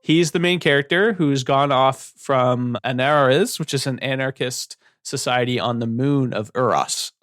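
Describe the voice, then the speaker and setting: A man's voice: mid-range voice, a little slow, hardly any pauses, a bit bright, no room sound, clean studio sound. A man co-hosting a book podcast, explaining the plot at length in a casual way. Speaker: podcast co-host.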